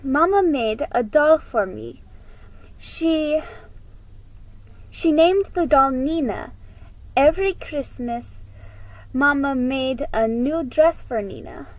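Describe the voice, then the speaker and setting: A woman voicing an excitable eldest sister in a storybook, eager and emphatic. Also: high-pitched, voice pushed up, rough recording.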